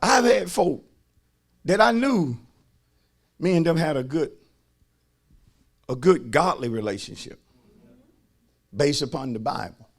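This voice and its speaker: A man's voice: on the high side, expressive, slow, pauses often, breathy edge. A man preaching as a pastor, emphatic, repeating phrases and calling on his listeners to respond. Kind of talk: sermon.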